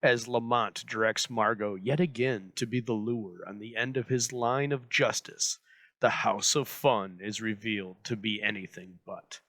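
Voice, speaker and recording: Bright, low-voiced man narrating. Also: steady tone, a little slow, no room sound. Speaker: host introducing old radio shows. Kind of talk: scripted narration.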